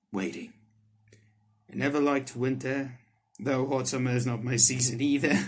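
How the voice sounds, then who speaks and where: A man hosting a gaming video, reading visual novel text aloud and commenting on it in informal, slangy language. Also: fairly low pitch. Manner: informal, slangy, swearing, reacts aloud to what he reads. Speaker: gaming YouTuber, host.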